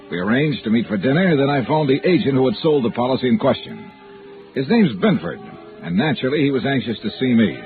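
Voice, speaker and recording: A man performs a crisp, wry radio detective narrating his own case. His mid-pitched voice moves up and down with plenty of swing. It comes through a thin vintage broadcast sound. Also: narrow, tinny audio, close to an everyday voice. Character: brisk, wry freelance insurance investigator, male. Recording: thin band-limited old radio recording.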